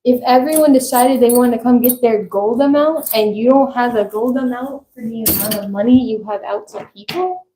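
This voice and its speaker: A woman's voice: a little high, normal speed, hardly any pauses. A woman co-hosting a casual livestream, speaking bluntly and wryly. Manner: blunt, wry. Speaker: co-host. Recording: echoey room with faint noise.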